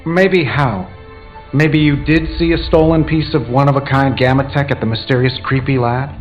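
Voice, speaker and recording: A man's voice: mid-range voice, moderate pace, close to an everyday voice. An actor playing a sardonic, troubled middle-aged genius hero in a video game, wry and casual in his speech. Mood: sarcastic. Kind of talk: scripted video game dialogue. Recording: slightly dull game audio, music behind.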